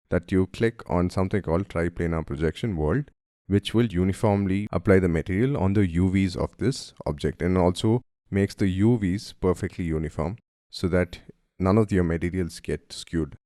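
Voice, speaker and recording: Deep-voiced man teaching software. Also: no echo, studio quality. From India. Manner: friendly, addresses viewers as guys. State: has a cold, voice deeper than usual. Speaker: software instructor. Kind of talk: screen-recorded tutorial narration.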